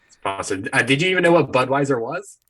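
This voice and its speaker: A man's voice: medium pitch, sing-song, fast, fairly bright tone. A man co-hosting a podcast, trading casual, crude jokes in short conversational interjections. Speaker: podcast co-host. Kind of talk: casual comedic banter. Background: royalty-free synthesizer music.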